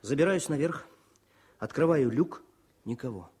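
An actor playing a young, modest, cheerful wartime poet and officer in a Soviet radio drama, speaking with expressive eagerness. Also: male voice, medium pitch, a little slow, husky. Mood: animated storytelling. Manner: expressive, eager, declaims verse.

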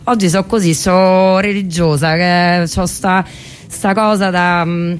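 A woman's voice: fairly low pitch, fairly even intonation, slow pace, clean tone. A woman with a Roman accent introducing a radio show in a wry, playfully literary way with colloquial asides. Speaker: radio host. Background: music.

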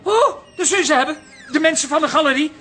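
An actress playing an excitable, self-important aunt in a radio play. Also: female voice, high voice, a little fast, faint music behind. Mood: excited, flustered.

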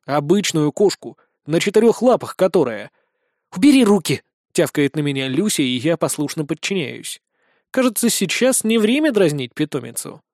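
Audiobook narrator, male, notably high voice, expressive first-person storytelling, dry close recording. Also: normal speed, no echo.

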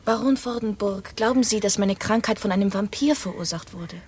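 A woman acts a young gentlewoman in a radio drama. Her voice is medium in pitch and noticeably breathy. She is dutiful and earnest, always quietly questioning. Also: a little fast, natural voice. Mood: inquisitive, uneasy. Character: young woman, ailing dutiful daughter, inquisitive.

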